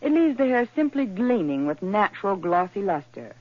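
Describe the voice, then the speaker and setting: A woman's voice: medium pitch, very animated, moderate pace, no room sound. A woman playing an enthusiastic female shampoo spokeswoman in a 1940s radio commercial, talking in a gushing, upbeat way. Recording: dull old radio recording.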